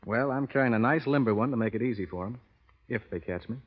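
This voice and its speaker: An actor playing a reckless, easygoing cattle rustler with a flippant manner and an American Western accent in an old radio western. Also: male voice, medium pitch, quick pace, slightly rough, lightly acted. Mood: flippant, defiant. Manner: flippant, folksy. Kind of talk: 1930s-40s radio western acting. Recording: muffled old radio recording, hall reverb.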